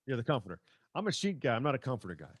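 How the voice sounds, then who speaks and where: A man's voice: mid-range voice, very animated, very fast, no echo, clean studio sound. A middle-aged man hosting a sports podcast in a chatty, wry, conversational way. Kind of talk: casual conversational banter.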